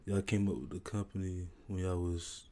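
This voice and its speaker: Deep, flat-voiced man hosting a podcast. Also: normal speed. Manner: relaxed, filler-heavy questions. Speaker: podcast host. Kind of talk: casual interview. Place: university library.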